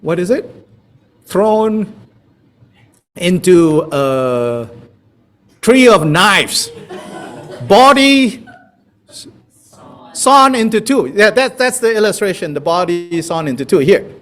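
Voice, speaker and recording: A man with a Malaysian accent teaches in a playful, conversational way. His voice sits fairly high and moves in lively swings, and he takes his time. He sounds like an informal teacher talking with a class. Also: takes it slowly, pauses often. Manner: playful, chuckling, conversational, with repeated checks like "you know". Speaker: Buddhist teacher. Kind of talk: informal spoken teaching with questions from students.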